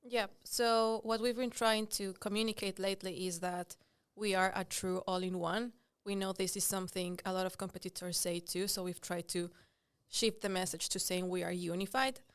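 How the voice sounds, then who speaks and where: A woman's voice: medium pitch, steady tone, normal speed, clear, resonant tone. A woman from Ecuador, a native Spanish speaker with an Ecuadorian accent, talking casually as a podcast guest. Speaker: digital marketer, podcast guest. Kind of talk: casual interview talk.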